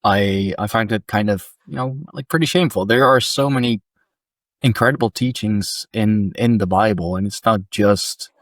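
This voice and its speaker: A man's voice: on the low side, normal speed, no room sound, clean studio sound. A young Dutch man hosting a podcast, talking hesitantly with frequent restarts and fillers. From Netherlands. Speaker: podcast host. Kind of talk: conversational interview.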